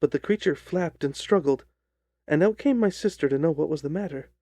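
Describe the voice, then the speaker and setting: A man narrating a story as an audiobook reader, in a measured, deliberate, dramatic style. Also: a little high, mellow, dry recording.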